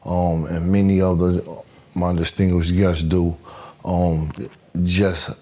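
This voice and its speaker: A man hosting a podcast interview, halting and earnest, with many fillers and restarts. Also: low-pitched, flat delivery, dark tone. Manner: halting, many fillers and restarts, says "you know what I'm saying"; devout, earnest. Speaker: podcast host. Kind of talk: interview conversation.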